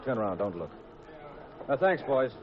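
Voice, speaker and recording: An American actor playing a cool, wry, unhurried amateur sleuth in a 1950s radio drama. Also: male voice, mid-range voice, very animated, close to an everyday voice. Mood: assured, soothing. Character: confident, wry male amateur sleuth, husband. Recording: dull old radio recording, music behind.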